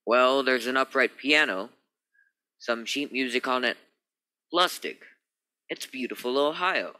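Space captain for radio play, male actor: sharp, tense, authoritative, mid-pitched, skeptical. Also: a little slow, low-quality recording. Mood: commanding. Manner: emphatic, authoritative.

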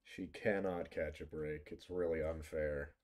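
A man's voice: extremely low pitch, steady tone, measured pace, darkish tone. A man casually reacting to a video game as he plays, muttering exclamations and swearing freely. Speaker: game streamer. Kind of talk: casual live gameplay reaction. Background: game music and effects.

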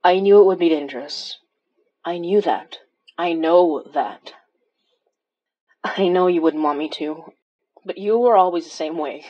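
A woman's voice: on the low side, measured pace, long pauses, lo-fi sound. A woman playing a wry, caring paranormal investigator who narrates a horror audio drama in an intimate, conversational way. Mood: tender. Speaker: lead character and narrator.

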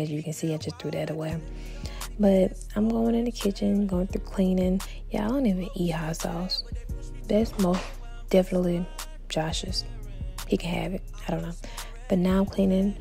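A woman's voice: fairly low pitch, creaky, dark tone. A young woman narrating her cleaning vlog in a chatty, slangy voice-over, sounding tired, with many half-whispered asides to her viewers. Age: young adult. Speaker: lifestyle vlogger.

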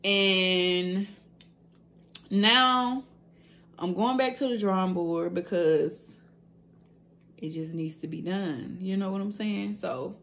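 A woman's voice: medium pitch, takes it slowly, pauses often, a bit bright. A woman, chatting candidly to her phone camera in a small room, with lots of fillers and slang. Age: 20s. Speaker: nail business owner and vlogger. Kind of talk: casual vlog chat to camera.

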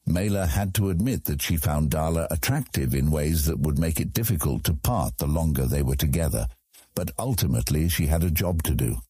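A man with a husky voice reading scripted fantasy story narration as an audiobook narrator. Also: extremely low pitch, warm tone, no room sound, studio quality.

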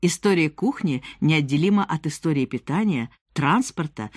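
Expressive woman reading an audiobook. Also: lowish voice, no echo, studio quality. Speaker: audiobook narrator. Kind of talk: scripted narration, nonfiction audiobook reading.

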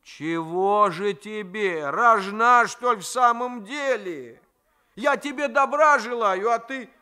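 A man's voice: high-pitched, slow, sharp tone, smooth, voice pushed up, exaggerated voice. A man reading a novel aloud as a bossy, indignant old provincial grandmother who scolds and exclaims fondly. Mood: exasperated.

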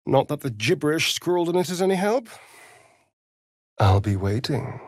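A man's voice: fairly high pitch, big pitch swings, moderate pace, darkish tone, no echo, clean studio sound. A man with a British accent voicing a vain, sardonic, seductive vampire rogue in a fantasy video game, with an arch, flamboyant, mocking manner. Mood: wry, mocking.

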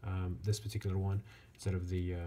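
A man giving a casual hands-on product review as a reviewer, speaking in a halting way with frequent pauses. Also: low-pitched. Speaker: watch reviewer. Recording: clear steady background noise.

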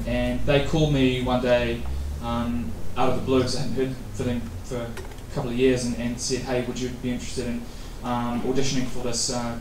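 A man's voice: medium pitch, background noise. A man in his thirties from New Zealand, answering interview questions casually at a meetup and rambling with many fillers and restarts. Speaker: guest speaker. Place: event venue. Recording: echoey room from far off.

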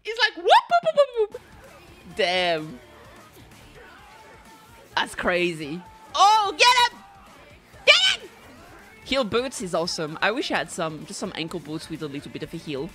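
A young woman streamer giving casual, expressive, joking reaction commentary full of slang. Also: mid-range voice, a little slow, pauses often, faint music behind.

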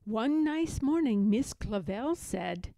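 A woman reading a children's picture book aloud in a deliberate, expressive storytelling manner. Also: a little high, creaky, dry recording. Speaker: storybook reader.

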